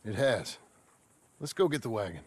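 An actor playing a rough, soft-hearted American cowboy turned rancher in a video game, with a gravelly Western drawl. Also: male voice, fairly low pitch, animated, moderate pace. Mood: easygoing, tender. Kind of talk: video game cutscene acting. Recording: music behind.

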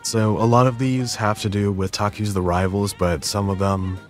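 A man narrating a step-by-step software tutorial in a casual, explanatory way, as the host of the lesson. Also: lowish voice, steady tone, moderate pace, soft background music.

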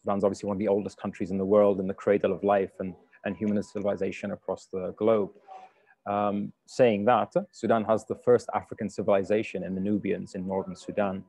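A man's voice: on the low side, flat delivery, a little fast, darkish tone, dry recording. A man who grew up in the UK, a cook giving a polite presentation on a video call, with many fillers and restarts. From United Kingdom. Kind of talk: webinar slide talk. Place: home room on a video call.

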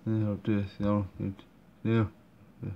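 A man commenting on a game he is playing, halting and full of fillers and restarts, recorded at home. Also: on the low side, completely flat delivery. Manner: hesitant, many fillers and restarts. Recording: dull muffled recording, faint room noise.

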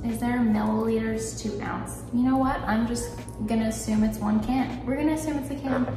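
A woman's voice: on the high side, steady tone, moderate pace, very noisy. A young woman in her twenties chatting casually and poking fun at herself while she bakes in her home kitchen, picked up by a camera set a few feet away. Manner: chatty, self-deprecating, talks while cooking. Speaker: lifestyle vlogger. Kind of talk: casual baking vlog chat. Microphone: camera on the counter a few feet away. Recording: roomy kitchen sound, mic at a distance. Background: background music.

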